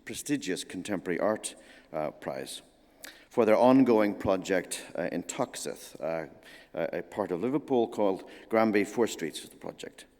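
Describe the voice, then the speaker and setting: Low-voiced man introducing speakers. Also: darkish tone. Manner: measured, halting with frequent uh pauses. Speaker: event moderator introducing speakers. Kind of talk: formal introduction. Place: lecture hall at a forum. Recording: long hall reverb.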